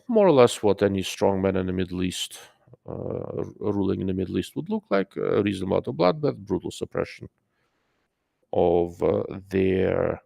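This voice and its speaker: Accented male livestream host, low gravelly voice, dry close sound, rambling off-the-cuff delivery. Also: darkish tone, dry recording. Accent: non-native English. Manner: rambling, filler-heavy, greets chat mid-sentence. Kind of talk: casual live-stream commentary.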